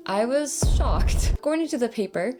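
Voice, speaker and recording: A woman's voice: on the high side, expressive, moderate pace, music in the background. A woman giving an informal, chatty explainer to viewers as a vtuber.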